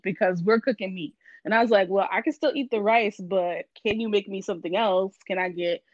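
Guest: woman from Miami, relaxed chatty delivery, dry lo-fi audio. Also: medium pitch, no echo. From Miami, Florida. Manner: relaxed, frequent ums and laughs. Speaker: guest, vegan business owner. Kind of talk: casual interview chat.

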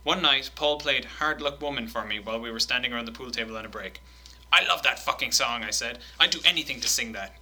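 Man, bright forceful voice, casual podcast banter, animated quote reading. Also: medium pitch, fast, poor audio. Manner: animated, swearing, laughing while reading quotes.

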